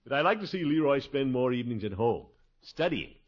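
Fussy American uncle, pompous, hesitant, swooping pitch, old radio comedy, muffled recording. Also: male voice, big pitch swings, fairly quick. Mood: fretful. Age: middle-aged. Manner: pompous, hemming and hawing. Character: blustering, fretful middle-aged uncle and water commissioner. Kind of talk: 1950s radio comedy acting.

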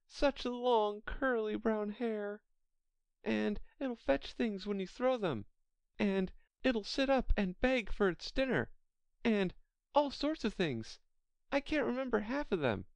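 A woman's voice: on the high side, a little mellow, no room sound, voice pushed up. A woman voicing a polite, chatty little girl in a children's storybook, earnest and apologetic as she rambles on.

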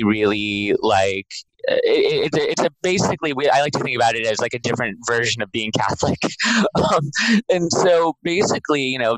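A man's voice: medium pitch, a bit bright, no room sound, low-quality recording. A man speaking as an interview guest, explaining things casually and informally with lots of filler words. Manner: informal, filler-heavy with frequent "like".

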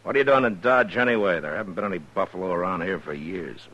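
An actor playing a stern, watchful, lonely US Marshal in a 1950s radio western, terse and gruff, on an old radio recording. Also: male voice, low-pitched, fast, fairly bright tone, lo-fi sound.

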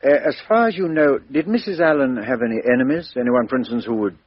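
An actor playing a methodical middle-aged police inspector, asking businesslike questions in a 1950s radio drama. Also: male voice, mid-range voice, quick pace, husky, no echo, lightly acted. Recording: dull 1950s radio recording.

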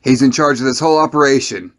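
A man's voice: medium pitch, fast, lightly acted. A man playing a brash, hot-headed young mutant tough guy in a superhero story, blunt and snappish. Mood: agitated.

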